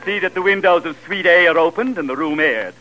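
A man's voice: sits high, fairly quick, music in the background, natural voice, rough recording. A man playing a fussy, officious hotel manager in 1940s radio comedy style. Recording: vintage radio broadcast recording.

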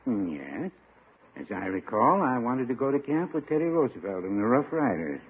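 An actor playing a mild older small-town shopkeeper, hesitant, folksy and deadpan, in 1940s radio comedy style on an old broadcast. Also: male voice, mid-range voice, moderate pace, phone-quality sound, background noise. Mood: droll.

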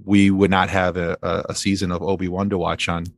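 A man's voice: low-pitched, flat delivery, brisk, gravelly, dry recording, studio quality. A man speaking as a podcast guest in casual fan commentary, often repeating phrases as he works out a thought. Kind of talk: casual chat, pop-culture commentary.

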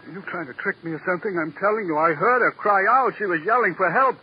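A man's voice: high voice, fast talker, close to an everyday voice. An actor playing a frightened, defensive salesman under police questioning in a vintage radio crime drama, agitated and stammering his protests. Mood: frightened, pleading. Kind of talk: 1940s-50s radio crime drama acting. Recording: noisy, muffled old radio broadcast.

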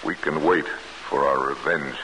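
A man's voice: rumbling low, measured pace, noisy background. An actor playing a grim, determined escaped prisoner telling his story in 1940s radio drama style. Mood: grim, weary. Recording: old 1940s radio broadcast, music behind.

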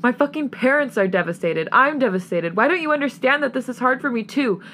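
A woman's voice: high-pitched, lively intonation, fairly quick, pushed and bright, slight background noise, pitched above the performer's own voice. A woman voicing a wounded young man in an audio story, an indignant boyfriend pleading and swearing in exasperation. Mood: hurt, angry.

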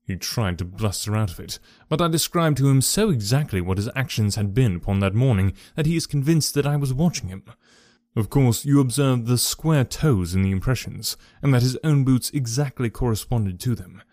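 A composed male detective, voiced by a man reading the story, smooth and quietly commanding. His voice sits at a middle pitch. The sound is dry and close. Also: animated, normal speed, no echo, natural voice. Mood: calm. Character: calm, sharp-minded male detective.

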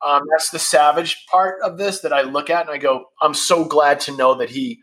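Bright-voiced blunt man in his fifties. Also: on the high side, steady tone, normal speed, poor audio. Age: 50s. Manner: candid and blunt, swears freely. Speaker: podcast guest, founder of a supplement brand. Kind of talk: casual podcast conversation.

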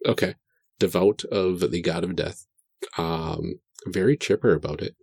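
Male podcast player, unhurried and halting, slightly deep, casual roleplaying-game talk. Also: creaky, darkish tone, slightly rough. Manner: hesitant, pausing between phrases. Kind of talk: casual chat during tabletop game character creation.

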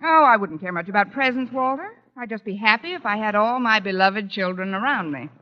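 A woman acting a sharp-witted schoolteacher in an old radio comedy, delivering dry, sardonic wisecracks in an arch manner. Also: mid-range voice, lively intonation, brisk, lightly acted. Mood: teasing, dry. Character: wry, sharp-witted single female schoolteacher. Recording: dull, noisy old radio recording.

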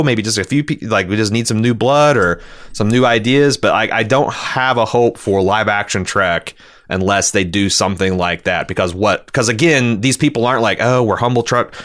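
Male podcast co-host, mid-range voice, opinionated and casually profane, loose halting phrasing. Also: medium pitch, fairly bright tone.

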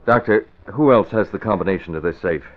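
An actor playing a shrewd, resolute crime-fighting hero in an old-time radio drama, firm, probing and decisive. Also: male voice, sits low, fairly quick, natural voice. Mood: suspicious, probing. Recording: thin, phone-like old radio recording.

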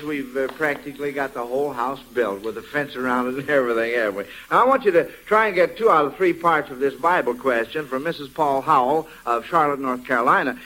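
A man's voice: on the high side, pushed and bright, poor audio. A man hosting an old-time radio quiz show, encouraging and affirming as he goes, partly ad-libbing. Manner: encouraging, affirming children's answers.

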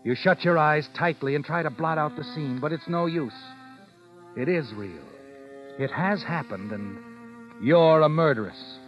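A man's voice: on the high side, measured pace, lo-fi sound, close to an everyday voice. A man playing an ominous, insinuating narrator of old radio suspense, addressing the guilty listener directly with pointed questions. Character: ominous male narrator needling the guilty woman. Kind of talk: second-person 1940s radio suspense narration. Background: dramatic music.

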